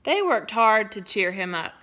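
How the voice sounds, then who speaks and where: Bright, expressive woman reading aloud. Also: mid-range voice, moderate pace. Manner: expressive, with playful asides to listeners. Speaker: teacher. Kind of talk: storybook read-aloud for children.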